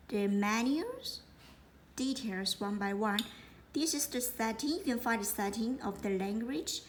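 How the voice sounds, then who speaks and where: A woman giving an unscripted product demonstration, speaking haltingly and often repeating words as she explains. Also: on the high side, a little hiss or hum. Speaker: product presenter.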